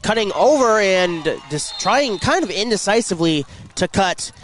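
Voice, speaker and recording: A man calling high school football play-by-play for radio, congested from a cold and picking up energy during plays. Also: moderate pace. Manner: rising excitement on plays. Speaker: play-by-play announcer. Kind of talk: radio sports commentary.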